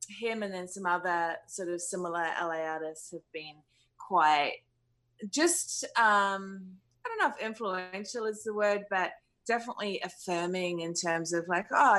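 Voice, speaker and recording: A musician in her thirties from New Zealand talks through her answers slowly and thoughtfully. She hesitates often and fills the gaps with ums and "you know". Her voice sits fairly low. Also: fairly bright tone. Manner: thoughtful, hesitant, many fillers. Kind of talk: interview answers.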